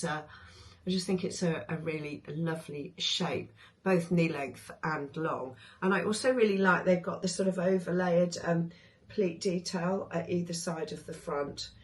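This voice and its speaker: A woman speaks in a somewhat low, hoarse voice that is clearly giving out on her. She chats informally, hesitating with ums and restarting her sentences as she talks through sewing patterns. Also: a little hiss or hum. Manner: chatty, with many ums and restarts. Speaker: sewing vlogger. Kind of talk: casual chat reviewing sewing patterns.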